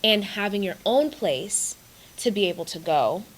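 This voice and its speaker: A woman who grew up in Uganda speaks as a guest coach in an interview. She talks earnestly and with emphasis, slowing down and stretching her key words. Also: mid-range voice, expressive, poor audio. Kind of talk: interview conversation.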